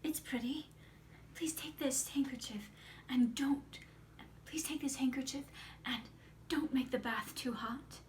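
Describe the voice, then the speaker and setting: A woman's voice: high voice, measured pace, a little hiss or hum. A woman voicing a polite, frightened little girl in a fairy tale, hesitant and repeating herself. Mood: pleading.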